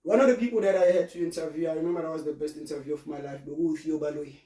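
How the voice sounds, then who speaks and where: A man's voice: on the high side, rapid-fire. South African man in his twenties, distant roomy sound. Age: 20s. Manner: relaxed storytelling, mixing in local words. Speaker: guest, brand founder. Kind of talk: casual interview conversation.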